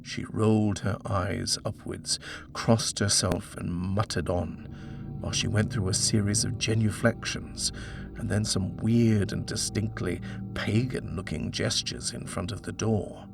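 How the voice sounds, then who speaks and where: A man's voice: fairly low pitch, steady tone, unhurried, gravelly, over music. A man narrating a scripted horror story as an audiobook reader.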